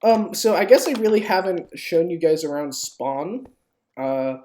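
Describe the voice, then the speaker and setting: Slow-paced, lowish-voiced woman streaming games. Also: expressive, mellow. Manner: informal, sweary, jokey mock-pleading with viewers. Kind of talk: casual live game stream commentary. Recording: clearly noisy home stream, keyboard clicks.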